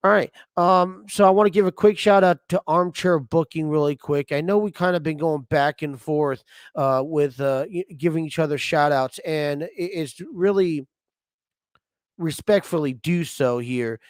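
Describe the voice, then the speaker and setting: A man hosting a casual sports podcast, his voice a bit raised in pitch and a little hoarse from a lingering cold. He rambles through announcements with plenty of fillers and restarts, recorded close on a desk mic in a dry, dead room. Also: normal speed, no echo. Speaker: podcast host.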